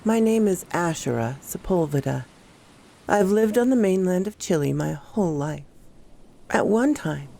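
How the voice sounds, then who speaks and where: A woman playing a troubled female park ranger who recounts her horror story in a measured, confiding first-person narration. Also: fairly low pitch, creaky, warm tone, a little hiss or hum. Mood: unsettled, reflective.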